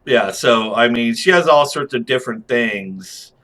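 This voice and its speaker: A man's voice: medium pitch, animated, unhurried, sharp tone. Rambling, slangy man reviewing game mods. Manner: rambling, slangy, chuckling delivery. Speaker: host of a game mod review. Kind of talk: casual unscripted game commentary.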